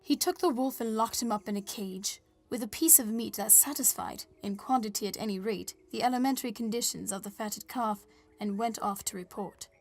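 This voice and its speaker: An actress playing a newspaper reporter, giving scripted narration in an audio drama. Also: female voice, a little high, brisk. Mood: composed reporting. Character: female newspaper reporter recounting a zoo story.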